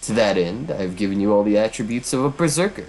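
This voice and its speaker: A man's voice: on the low side, expressive, fast talker, a little mellow, a little hiss or hum, low-quality recording. A man voicing a vengeful witch woman from hell, speaking in a theatrical, formal and taunting way. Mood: cold, commanding.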